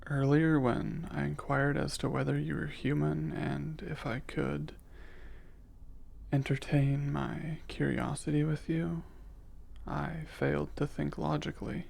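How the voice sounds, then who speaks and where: Man as slow, gravelly, reserved alien scientist. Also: on the high side, flat delivery, a little mellow, full character voice. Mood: apologetic, formal. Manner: formal, precise, hesitant, measured diction. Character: curious, emotionally reserved adult male alien scientist. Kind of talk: scripted ASMR roleplay, intimate.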